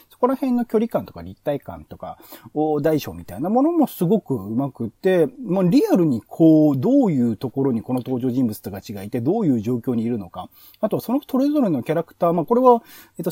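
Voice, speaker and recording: A man's voice: on the high side, mellow, breathy edge, no echo, studio quality. A man hosting a podcast, giving conversational review commentary in an analytical, explanatory way. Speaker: podcast host.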